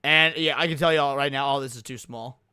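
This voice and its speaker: A man's voice: medium pitch, fairly even intonation, fairly quick, pushed and bright, clear, resonant tone, no echo, studio quality. A man reacting casually to a video on a livestream, talking in slang and swearing.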